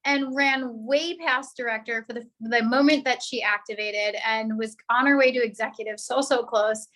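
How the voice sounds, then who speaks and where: Upbeat woman giving shout-outs. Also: a little high, fairly even intonation, normal speed. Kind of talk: casual video-call speech. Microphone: video call.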